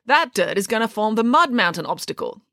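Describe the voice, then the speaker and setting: A woman's voice: on the high side, very animated, a little fast, sharp tone, dry recording, studio quality, pitched above the performer's own voice, full character voice. A woman voicing an unbothered, matter-of-fact schoolboy in a children's storybook reading, blithely explaining himself.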